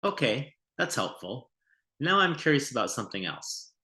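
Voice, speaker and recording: Bright, lively man asking questions. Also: medium pitch, normal speed. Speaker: prospective volunteer. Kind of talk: scripted interview questions. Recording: roomy echo.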